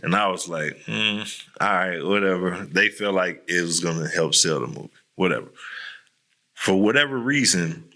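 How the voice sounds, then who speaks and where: A man's voice: sits low, normal speed, sharp tone. A man hosting a podcast in a casual, candid chat, talking bluntly in slangy, profanity-laced language. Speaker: podcast host.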